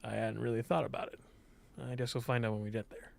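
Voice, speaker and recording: A man's voice: medium pitch, little pitch movement, quick pace, vocal fry, darkish tone, lightly acted. A man playing a wry, unflappable male game character with a dry, laid-back manner.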